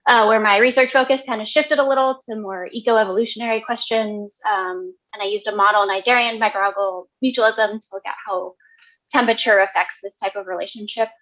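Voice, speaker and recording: A woman's voice: medium pitch, steady tone, brisk, a bit bright. A young woman introducing herself at a public meeting over a video call, talking hesitantly with many fillers. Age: young adult. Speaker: new science staff member. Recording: dull lo-fi call audio.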